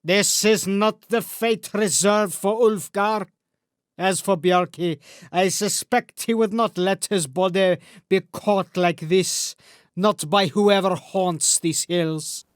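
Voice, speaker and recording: Old Norse warrior, male actor, audiobook saga. Grave and measured, resonant tone, high-set for a man, dry close recording. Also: clean tone, no echo. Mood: solemn, reflective. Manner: solemn, measured, formal phrasing. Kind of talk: audiobook character acting.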